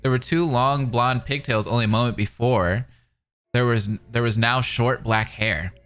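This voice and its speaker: A man reading story narration aloud and breaking into casual, excitable reactions as a commentator. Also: mid-range voice, normal speed, clean tone. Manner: excitable, expressive.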